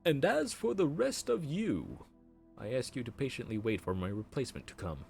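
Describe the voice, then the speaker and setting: A man's voice: medium pitch, sing-song, normal speed, creaky, darkish tone, faint music behind. A man voicing a helpful, chatty male grim reaper who works the underworld front desk, explaining things politely in an audio roleplay. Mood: courteous.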